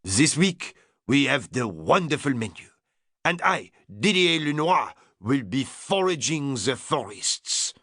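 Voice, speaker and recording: A man's voice: mid-range voice, sing-song, takes it slowly, bright, forceful tone, no echo, clean studio sound, heavily acted. A man voicing a short, rotund French chef with a strong French accent, proud and theatrical. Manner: indignant, theatrical.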